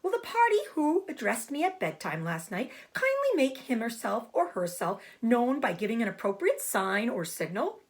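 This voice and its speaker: A woman's voice: a little high, wildly swooping pitch, normal speed, few pauses, voice pushed up. Woman playing an earnest, polite young boy pig. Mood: formal, firm. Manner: formal, earnest announcing. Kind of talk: storybook character voice.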